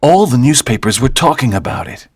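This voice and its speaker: A woman doing an eager young schoolboy from a storybook, swinging up and down as he gets carried away with an idea. His voice is set a little deep, and it sits dry and close with no room around it. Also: very animated, darkish tone, slightly rough, no room sound, heavily acted. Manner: eager, quick to propose plans. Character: curious excitable schoolboy, sure of his ideas. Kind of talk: audiobook character reading.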